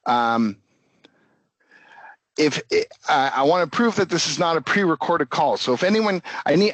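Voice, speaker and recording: A man's voice: mid-range voice, no room sound. Man presenting, with a dry, close sound. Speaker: presenter, company AI director. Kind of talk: live webinar presentation with demo.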